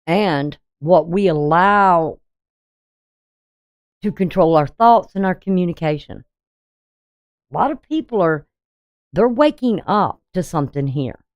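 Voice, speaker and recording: Deep-voiced woman host, blunt chatty astrology reading, low pitch, dry close sound. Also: no room sound, muffled recording. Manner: blunt, conversational, frequent "you know". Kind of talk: casual daily astrology reading.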